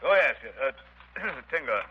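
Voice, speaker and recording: Blustering British army major, clipped, brusque, medium pitch, muffled vintage radio sound. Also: male voice, sing-song, slow, breathy edge, exaggerated voice. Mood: awkward. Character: pompous, blustering British colonial major running a mine. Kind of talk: 1930s radio drama acting. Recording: muffled, hissy 1930s radio broadcast.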